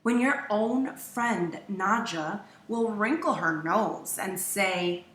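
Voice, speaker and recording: Slow, bright woman reading in an echoey room. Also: medium pitch. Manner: measured, dramatic pauses, acts out quoted lines. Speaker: teacher reading a picture book aloud. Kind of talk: expressive children's storybook read-aloud. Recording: roomy echo, distant from mic.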